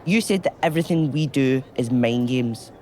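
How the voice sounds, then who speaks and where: A woman's voice: a bass voice, normal speed, darkish tone, slight background noise. A woman voicing a cool, disapproving member of a witch coven in a wrestling video game, dry and sceptical. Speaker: voice actor in a video game cutscene.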